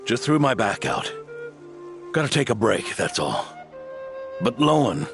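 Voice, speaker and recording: An actor playing an aging, modest veteran knight who speaks calmly and with self-deprecation in a game cutscene, with background music. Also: male voice, medium pitch, measured pace, gravelly. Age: older. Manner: calm, reflective, self-deprecating. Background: background score.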